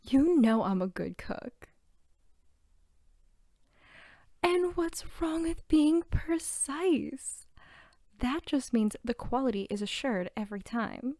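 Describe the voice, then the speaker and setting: Woman acting as sweet nerdy girlfriend, asmr roleplay, mellow n unhurried, teasing, soft voice up close. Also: sits high, lively intonation, no room sound, full character voice. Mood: playful, mock-offended. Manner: soft-spoken, often near whisper, giggly, teasing. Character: sweet, bookish, playful archivist girlfriend at home. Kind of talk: intimate narrative ASMR roleplay.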